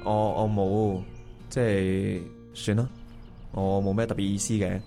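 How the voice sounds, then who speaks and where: A low, subdued male voice over background music: a man acting a hesitant husband full of regret in a Cantonese radio play. He speaks conversationally with a Cantonese accent. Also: little pitch movement, measured pace, mellow. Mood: hesitant, regretful. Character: regretful, hesitant married man meeting his first love. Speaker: voice actor playing the male lead. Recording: music under the dialogue.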